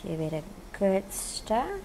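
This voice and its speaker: A woman's voice: mid-range voice, big pitch swings, slow pace, gravelly, mellow, a little hiss or hum. A woman in her thirties, a chef hosting a cooking show, chatting upbeat to camera with plenty of "you know" as she cooks, wearing a lapel mic in a kitchen studio. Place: home-style kitchen studio, indoors.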